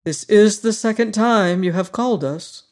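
A reader voicing a dignified, courteous monkey king who speaks in a formal, measured way. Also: medium pitch, voice pushed up, close to an everyday voice.

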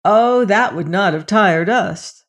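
A woman's voice: medium pitch, slow, natural voice. A woman voicing a candid, helpful village boy who speaks openly in a storybook tale. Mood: cheerful. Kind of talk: storybook character voice.

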